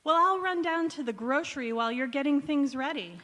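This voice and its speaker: A woman's voice: high voice, expressive, a little fast, fairly bright tone. A woman playing an eager, chummy housewife neighbour in a vintage radio comedy on stage, with exclamatory delivery. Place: large indoor hall, live stage performance. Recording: reverberant church hall stage recording.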